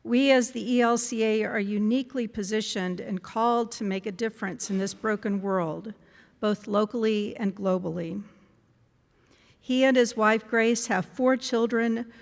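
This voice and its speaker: A woman's voice: medium pitch, creaky. A woman hosting the event, reading a formal, welcoming introduction of the guest speaker. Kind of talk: read introduction. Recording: echoey hall.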